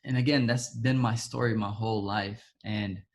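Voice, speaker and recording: Soft-spoken Ethiopian-born man telling his story. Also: on the low side, fairly even intonation. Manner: halting, many restarts, soft trailing asides. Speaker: interviewee. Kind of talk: personal storytelling in conversation.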